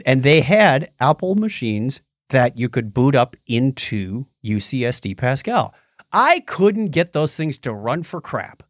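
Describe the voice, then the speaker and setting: A man's voice: medium pitch, a little slow, no room sound, clean studio sound. A middle-aged American man co-hosting a casual tech podcast, chatting and telling stories in a jokey way. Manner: jokey, animated storytelling. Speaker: podcast co-host.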